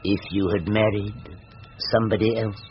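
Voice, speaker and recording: A man playing a gentle, patient Victorian working-class husband, soothing and hesitant, in old-time radio drama style. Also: on the low side, little pitch movement, normal speed, close to an everyday voice. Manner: soothing, hesitant, mildly questioning. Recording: muffled, noisy old radio drama recording.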